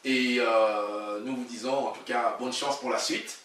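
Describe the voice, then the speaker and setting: A man's voice: medium pitch, steady tone, measured pace, a bit bright. A man hosting an interview, speaking formally and politely with frequent hesitations. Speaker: interviewer host. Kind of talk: interview questions and sign-off. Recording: roomy distant audio.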